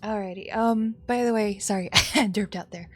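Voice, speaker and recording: A woman's voice: medium pitch, normal speed. A woman streaming a game with casual, informal live commentary.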